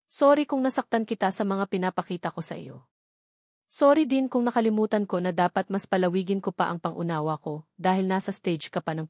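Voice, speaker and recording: A woman's voice: medium pitch, a little mellow, dry recording, studio quality. A woman with a Filipino accent voicing a hurt, loving and apologetic husband in a romance audiobook, earnest and pleading.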